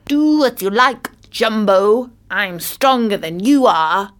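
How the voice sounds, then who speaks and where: A woman's voice: mid-range voice, lively intonation, takes it slowly. A woman voicing a small, sleepy, boastful tortoise in an animal fable, taunting and unbothered. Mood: cheeky, unbothered.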